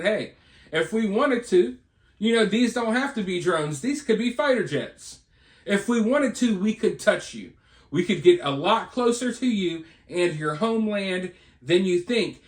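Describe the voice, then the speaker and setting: An American man giving informal, speculative news commentary in a conversational, rhetorical way, often checking in with his listeners. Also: high-pitched. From United States. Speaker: online video commentator and channel host. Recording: roomy, echoing voice recording.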